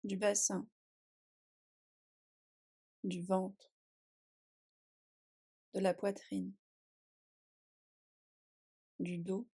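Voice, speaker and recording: A woman leads a guided deep relaxation. Her pitch sits at a middle height and barely moves, with a noticeable creak in the voice. She gives calm, soothing instructions in short phrases, leaving long pauses between them. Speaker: yoga nidra meditation guide. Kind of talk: guided relaxation meditation, scripted.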